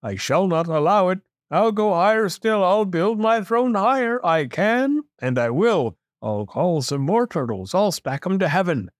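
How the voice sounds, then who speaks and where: A man's voice: high voice, sing-song, a little slow, hardly any pauses, no echo, clean studio sound, voice pushed up. A man voicing a pompous, bossy, boastful turtle king from a storybook, with an imperious, bellowing delivery. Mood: indignant. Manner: bellowing, self-important, imperious. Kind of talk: storybook character voice.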